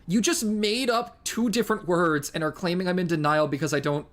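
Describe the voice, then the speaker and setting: A woman's voice: on the low side, a little fast, close to an everyday voice. A woman playing an earnest, easily wounded young exiled prince, defensive and awkward in improvised comedic banter. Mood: defensive, stubborn.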